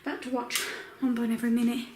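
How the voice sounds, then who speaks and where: A young, heavily pregnant woman chatting casually to her camera at home, full of filler words, her voice croaky. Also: on the high side, little pitch movement, fast talker, darkish tone, a little hiss or hum. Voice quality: croaky morning voice. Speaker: vlogger. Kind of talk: casual vlog chat. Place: home bedroom.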